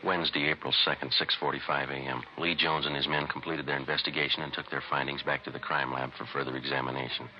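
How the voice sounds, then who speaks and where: A man's voice: extremely low pitch, little pitch movement, quick pace, hardly any pauses, highs cut off, a little hiss or hum. A man playing a terse, methodical police detective in a clipped, matter-of-fact 1950s radio drama style on a vintage recording. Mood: deadpan, official. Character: terse, methodical male police detective narrating his case. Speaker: detective narrator.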